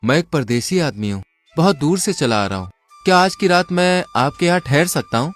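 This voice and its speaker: Lively, confident young traveler, acted by a man. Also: a little high, normal speed, soft background music, close to an everyday voice. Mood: polite. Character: clever young traveler outwitting swindlers. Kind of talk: Hindi folk tale dialogue.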